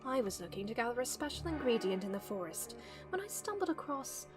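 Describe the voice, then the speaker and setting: Woman as cartoon villainess, sly, menacing, sarcastic, high-pitched, drawn-out taunts. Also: sing-song, music in the background. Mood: sly, threatening. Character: sly, menacing female villain, mocking and smug. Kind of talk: animated web series voice acting.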